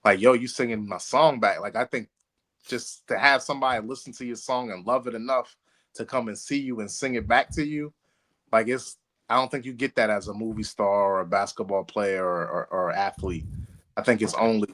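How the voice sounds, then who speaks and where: A man's voice: fairly low pitch, a little fast, fairly bright tone. A man co-hosting a podcast in casual, friendly debate, using slangy, sometimes profane speech and repeating phrases for emphasis. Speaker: podcast co-host.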